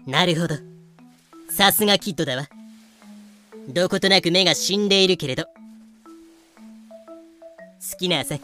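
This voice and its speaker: A woman's voice: lowish voice, normal speed, pauses often, bright, forceful tone, faint music behind. A woman playing a composed, cutting lady with a cool, sardonic, ladylike manner in an audio drama. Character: composed, cutting lady who coolly narrates pirate tales. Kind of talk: fan-made Japanese voice drama acting.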